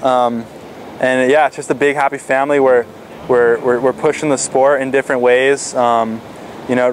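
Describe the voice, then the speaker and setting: A teenage man answering interview questions in a relaxed, casual way, with frequent fillers and restarts. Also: medium pitch, a little hiss or hum. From Florida. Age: teens. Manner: laid-back, lots of fillers and restarts. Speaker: stand-up paddle athlete.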